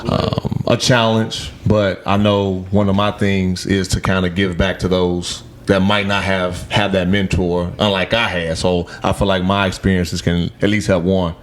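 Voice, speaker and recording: Deep-voiced Midwestern man, measured. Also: flat delivery, a little hiss or hum. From Gary, Indiana. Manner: measured and earnest, with "uh" pauses. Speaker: podcast host, guest on this show. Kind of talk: casual chat.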